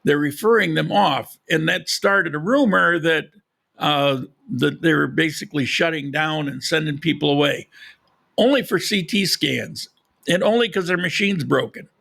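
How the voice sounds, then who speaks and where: Guy hosting a live podcast, gravelly and kinda hoarse, rambles on, slightly high voice. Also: a little slow, sharp tone. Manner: rambling, conversational, frequent restarts. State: losing his voice. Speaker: podcast host. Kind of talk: casual live podcast chat. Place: lives in Colombia.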